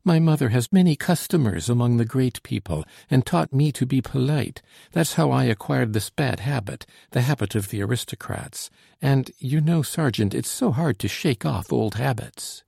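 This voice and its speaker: A man's voice: mid-range voice, lively intonation, normal speed, gravelly, few pauses, warm tone, breathy edge, no echo, studio quality, voice pushed up. A man voicing a frightened young woman who pleads anxiously and politely, a trembling voice in a spoken story. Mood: pleading.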